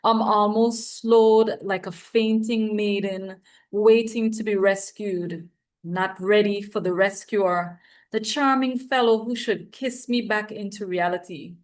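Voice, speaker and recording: A woman from the Virgin Islands speaks as a poet at an open reading. Her voice sits at a middle pitch. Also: steady tone, slow pace. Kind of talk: poetry reading and informal talk.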